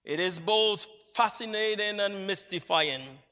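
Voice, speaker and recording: A man from Barbados preaching a sermon, with measured, reflective storytelling. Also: high-pitched, animated, pushed and bright. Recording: long hall reverb.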